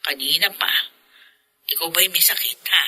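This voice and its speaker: Prying older lady friend, radio drama, fussing manner, very sharp tone, raspy edge. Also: low-pitched, moderate pace, full character voice, rough recording. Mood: curious, concerned. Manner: probing, fussing. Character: nosy, concerned older woman friend.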